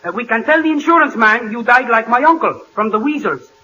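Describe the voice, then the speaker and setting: A man's voice: very high-pitched, animated, brisk, lo-fi sound. An actor playing a muddled, eager man who mangles his words, on a scratchy 1940 radio broadcast. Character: bumbling Spanish-accented man, muddled and eager.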